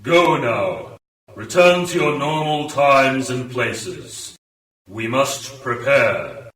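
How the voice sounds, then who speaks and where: A man acts out a solemn cult leader in a sci-fi radio play, addressing followers with ceremonial authority. His delivery is slow and the tone hard and bright, ringing through an echoey space. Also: mid-range voice, expressive. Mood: solemn, commanding. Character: commanding male cult leader monk, solemn and ominous. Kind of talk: amateur science fiction radio play acting. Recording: echoey chamber, distant from the mic.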